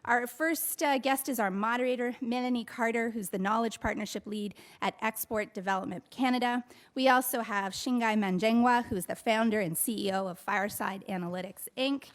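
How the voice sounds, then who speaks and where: A woman's voice: fairly high pitch, fairly quick. A woman hosting a conference, introducing the panel in an upbeat, formal way. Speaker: event host. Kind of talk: stage introductions. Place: conference stage.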